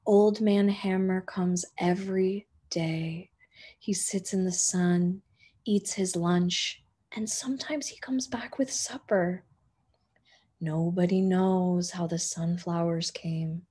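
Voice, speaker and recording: A woman in her thirties reading a children's picture book aloud like a teacher, with gentle, expressive phrasing. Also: lowish voice, takes it slowly, slightly rough.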